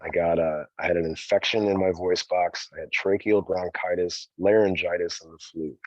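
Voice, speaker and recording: A man, a rock band singer, talking in a relaxed, rambling way in an interview, tossing in "man" and "dude". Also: deep voice, moderate pace, no room sound. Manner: easygoing, rambling, calls the host "man" and "dude". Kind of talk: casual interview talk. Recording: dull, dry sound.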